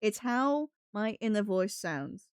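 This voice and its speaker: A woman livestreamer talks to her viewers in a practiced, put-on British accent. She is chatty and rambling with a giggly streak, at a medium pitch. The sound is dry and close. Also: big pitch swings, slow, clear, resonant tone, no echo. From New England, United States. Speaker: live streamer. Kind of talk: casual livestream chat with viewers.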